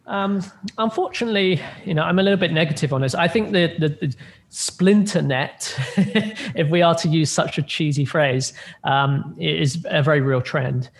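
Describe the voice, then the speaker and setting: A man's voice: on the high side, moderate pace, creaky. A man in his thirties, a guest tech analyst on a video call, explaining points with many fillers and restarts. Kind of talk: conversational interview answers. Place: home room with plain light blue wall. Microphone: video call with earbuds.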